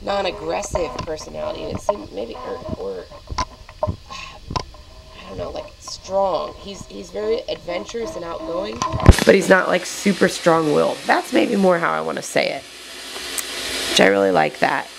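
A woman's voice: on the low side, expressive, moderate pace, creaky, heavy background noise. A woman who is losing her voice, chatting casually and rambling on camera from an indoor puppy room. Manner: chatty and rambling, many fillers, reassuring. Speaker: dog breeder filming her puppies. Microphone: handheld camera mic, moving around.

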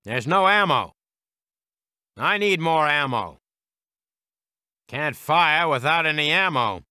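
Forceful gung-ho American soldier. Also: male voice, on the high side, lively intonation, takes it slowly, clean tone, no room sound, clean studio sound, full character voice. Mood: confident, brisk. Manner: clipped, military, punchy delivery. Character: gung-ho American WWII heavy weapons squad soldier. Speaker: game voice actor. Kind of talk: military unit barks for a strategy game.

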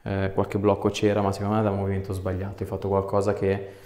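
A man's voice: low voice, completely flat delivery, quick pace, a little mellow. A man in his thirties, a chiropractor in a small treatment room, giving a patient short, informal hands-on instructions. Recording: roomy echo, clear background noise, clicks.

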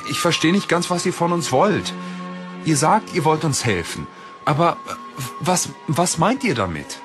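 A man's voice: on the high side, sing-song, moderate pace, music in the background. A man playing a sceptical, cautious young adventurer who leads his friends in a German audio drama.

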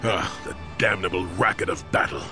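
An actor playing a crotchety old hermit Jedi in a video game, with a wry, gruff, sardonic manner. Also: male voice, low-pitched, brisk, loud music behind the voice, natural voice. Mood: gruff, amused. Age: older. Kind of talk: video game character acting.

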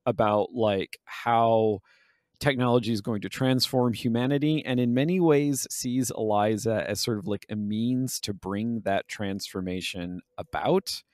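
A man co-hosting a podcast, explaining things casually in halting phrases that he often rewords. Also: on the low side, darkish tone, no echo, studio quality. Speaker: podcast co-host. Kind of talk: casual discussion of a video game.

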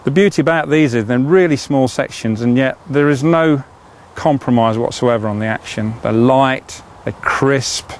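A man's voice: mid-range voice, moderate pace, hardly any pauses, slight background noise. A middle-aged man, chatting enthusiastically as he demonstrates fishing rods, with frequent "uh" fillers. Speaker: fishing tackle presenter. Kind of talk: product demonstration.

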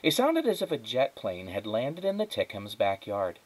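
Animated man reading a storybook. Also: mid-range voice, normal speed. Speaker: teacher reading aloud. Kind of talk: children's storybook narration. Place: indoors at a wooden table.

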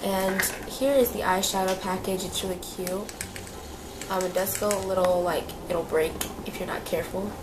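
A woman's voice: mid-range voice, steady tone, normal speed, noisy background. A young woman with a slightly nasal voice from a cold, chatting casually as she reviews beauty products in a small room. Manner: chatty, lots of fillers and repeats. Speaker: beauty vlogger.

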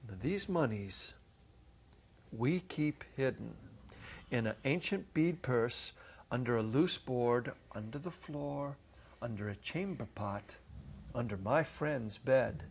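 Gravelly, husky middle-aged male storyteller. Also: medium pitch, animated. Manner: deliberate phrasing with dramatic pauses. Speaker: narrator, dramatic reader. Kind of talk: dramatic storytelling from a script. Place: small indoor stage set. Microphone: clip-on lapel mic.

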